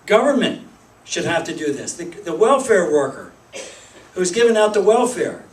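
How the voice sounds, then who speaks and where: A man's voice: sits high, big pitch swings, normal speed, distant mic. Man speaking in an echoey room. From Pennsylvania, United States. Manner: restarts and hesitant fillers, emphatic lists. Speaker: speaker at a group meeting. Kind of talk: informal public talk.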